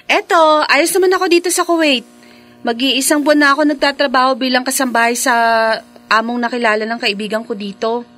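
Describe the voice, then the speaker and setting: A woman's voice: sits high, fairly quick, a bit bright. A woman with a Filipino accent playing an earnest, hopeful migrant housemaid in a radio drama, speaking expressively and conversationally. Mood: resigned, reassuring. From Philippines. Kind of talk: classic radio drama acting.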